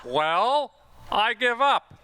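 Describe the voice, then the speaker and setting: Man playing a bright-voiced, wry father. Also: very high voice, very animated, extremely slow, clear, resonant tone, full character voice. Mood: wry, teasing. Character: wry, protective middle-aged father of grown daughter. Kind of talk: comic radio play acting.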